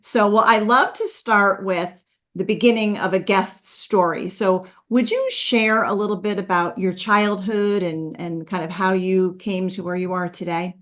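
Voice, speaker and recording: Woman podcast host, mid-pitched, chatty and upbeat. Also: steady tone, unhurried, a bit bright. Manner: chatty, upbeat, many ums. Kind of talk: casual podcast talk.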